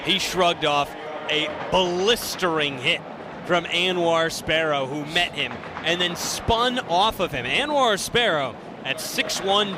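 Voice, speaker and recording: An American man giving emphatic, opinionated analysis as a color commentator on a live radio sports broadcast. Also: high-pitched. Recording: noisy live stadium broadcast.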